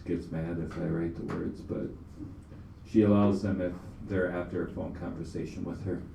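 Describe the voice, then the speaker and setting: A man with a low, creaky voice gives casual stage banter as a musician. He sounds distant, in an echoey venue under very loud noise. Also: flat delivery, normal speed.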